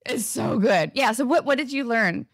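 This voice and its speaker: A woman hosting a podcast interview, upbeat and enthusiastic, asking questions in a casual, quick way. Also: a little high, animated, no echo, studio quality. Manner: upbeat, enthusiastic, fast-talking. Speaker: podcast host.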